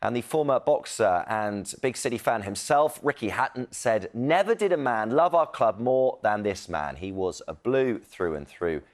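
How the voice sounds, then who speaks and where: A man's voice: fairly low pitch, expressive, normal speed, few pauses. A man presenting broadcast news live, moving between ad-libbed links and read tributes.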